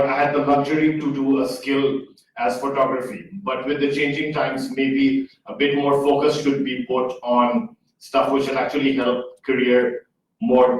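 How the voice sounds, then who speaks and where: Casual man in his thirties, echoey hall. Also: medium pitch. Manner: casual, rambling. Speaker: audience member, award holder. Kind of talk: question from the floor at a panel. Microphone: handheld microphone. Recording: echoey hall, distant mic.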